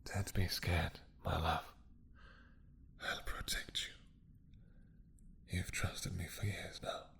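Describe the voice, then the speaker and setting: A man playing a possessive, doting mad dragon king in a romantic roleplay drama, with a husky, breathy voice that often drops to a whisper and a regal, seductive manner. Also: deep voice, level tone, fairly bright tone, heavily acted. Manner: slow, regal, seductive purring menace.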